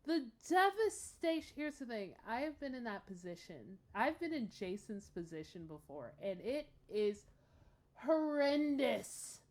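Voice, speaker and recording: A woman hosting a casual reaction show, confiding and expressive, with dramatic emphasis. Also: a little high, a little slow.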